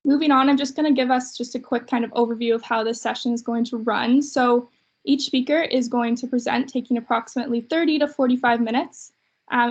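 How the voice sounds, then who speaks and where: Young Canadian woman as student webinar host, fairly high voice, slightly muffled call audio. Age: young adult. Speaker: student host opening an online session. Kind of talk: introductory hosting of a webinar. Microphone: video call. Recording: dull video call audio.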